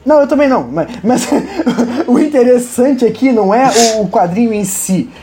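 A man with a Brazilian accent, co-hosting a casual podcast from home and presenting nerd news, excitable and quick to swear and laugh. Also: very high-pitched. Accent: Brazilian Portuguese. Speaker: podcast co-host.

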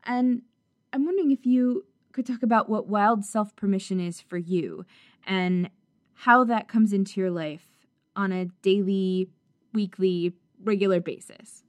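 A woman interviewer asking slow, measured questions. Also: mid-range voice, a little mellow, dry recording.

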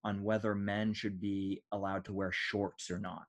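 American guy, kinda low voice, rambly and self-deprecating, swapping stories like a comic. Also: little pitch movement, normal speed. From United States. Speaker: stand-up comedian guest. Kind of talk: casual chat, telling anecdotes.

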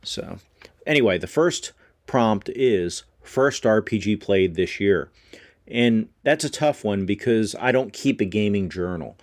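A man in his fifties hosts his podcast alone in a relaxed, conversational way. His voice sits on the low side and is recorded dry and close, with no room sound. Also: a little slow, dry recording. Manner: conversational, thinks aloud with small self-corrections. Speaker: podcast host. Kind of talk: solo podcast talk.

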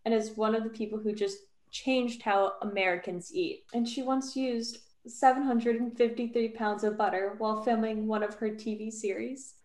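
A woman's voice: fairly high pitch, little pitch movement, normal speed, hardly any pauses, darkish tone, echoey room. A woman co-host running a playful quiz segment over a video call, giggling as she talks. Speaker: podcast co-host. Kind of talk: casual quiz chat.

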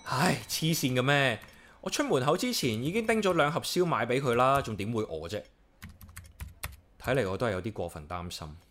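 An actor playing a solitary, caring young office worker with a Hong Kong accent, narrating and chatting casually in a radio drama. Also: male voice, mid-range voice, a bit bright, a little hiss or hum. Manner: casual, talks playfully to himself and pet. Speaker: lead character and narrator. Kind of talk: radio drama dialogue and inner-monologue narration.

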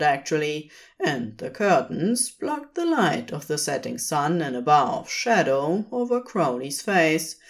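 Slow, lively-pitched woman storyteller. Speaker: podfic reader. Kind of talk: scripted fiction narration.

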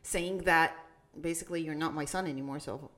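A young woman from Iraq, co-hosting a podcast and telling a story in casual chat, with halting restarts and fillers. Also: sits low, fairly bright tone. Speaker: podcast co-host.

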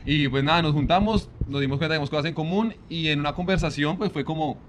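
A man from Colombia with a Bogotá accent, a guest on a casual podcast interview, talking in a relaxed, easygoing way. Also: a little high, quick pace, slight background noise. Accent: Bogotá Colombian Spanish. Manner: relaxed, easygoing, leans on "pues" as a filler.

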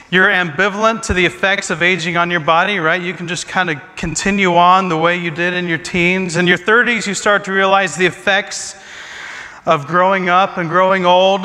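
A high, bright male voice belongs to a pastor in his forties who jokes at his own expense in a chatty sermon. His words ring out with a long reverb, as in a large church sanctuary. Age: 40s. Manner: self-deprecating joking, chatty asides. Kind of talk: humorous sermon talk.

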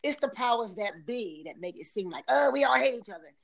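A woman's voice: a little high, expressive, brisk, vocal fry, crowd noise. A middle-aged woman from Philadelphia with a Philadelphia accent, chatting casually, laughing often and restarting her sentences. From Philadelphia, USA. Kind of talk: casual chat.

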